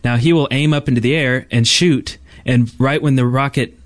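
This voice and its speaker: A man's voice: medium pitch, fairly even intonation, normal speed, dry recording, clean studio sound. A man co-hosting a casual gaming podcast, explaining things in detail and piling up clauses as he goes. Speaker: podcast co-host.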